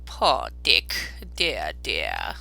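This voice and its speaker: Elderly storybook gentleman, played by a man: earnest, emotional, forceful, exclaiming with sharp tone. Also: low-pitched, wildly swooping pitch, very slow, vocal fry, no echo, faint music behind, voice pushed down, full character voice. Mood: excited, nostalgic.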